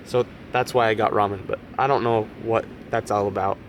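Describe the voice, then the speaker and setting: A young man with a cold-raspy voice chatting excitedly to camera while car camping by a lake, casual and full of "dude" and "like". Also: on the low side, a little fast, slight background noise. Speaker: vlogger. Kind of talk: casual vlog chat to camera.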